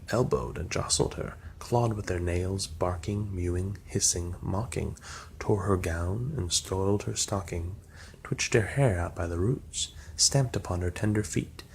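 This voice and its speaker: A man narrating a poem aloud as an ASMR reading. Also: low voice. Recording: clear background noise.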